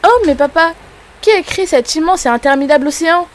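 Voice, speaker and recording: Woman playing a little cartoon kid, high voice, thoughtful and full of wonder. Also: lively intonation, fast talker, a little hiss or hum. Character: thoughtful young child in awe of nature. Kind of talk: children's cartoon dialogue.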